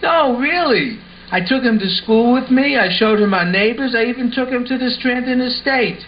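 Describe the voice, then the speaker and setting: A man playing an earnest, excitable schoolboy who explains things eagerly in an amateur puppet show. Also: very high voice, moderate pace. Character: Lance, earnest excitable schoolboy puppet spinning wild tales. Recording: muffled audio with faint background noise.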